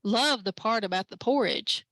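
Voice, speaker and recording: A woman reading aloud voices a friendly, folksy diner waitress, quick and chatty. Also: medium pitch, fairly bright tone, no room sound.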